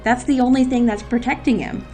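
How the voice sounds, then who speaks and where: A woman's voice: a little high, fairly even intonation, brisk, dark tone, soft background music, lightly acted. A woman playing a commanding, dry-witted agency boss in an audio drama, decisive and authoritative. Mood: thoughtful, assertive.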